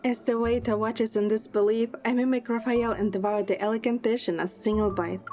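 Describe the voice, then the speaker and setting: A woman performs as a young heroine telling her own story in an audio drama. Her voice sits fairly high, fond and quick-witted, with music clearly behind it. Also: fairly even intonation, mellow, natural voice. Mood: narrating. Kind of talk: first-person scripted narration.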